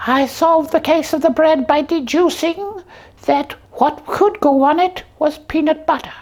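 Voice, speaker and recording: A woman's voice: high voice, unhurried, darkish tone. A woman voicing a hungry young talking slipper who plays detective, telling the tale with pompous earnestness in a comic puppet show. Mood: self-important.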